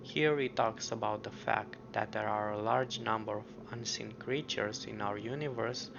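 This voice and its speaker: A man's voice: fairly low pitch, steady tone, moderate pace. A man narrating an explanatory video commentary, mostly scripted with casual asides, on a muffled, noisy lo-fi recording. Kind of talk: explanatory commentary on a horror web series. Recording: muffled lo-fi audio with clear background noise.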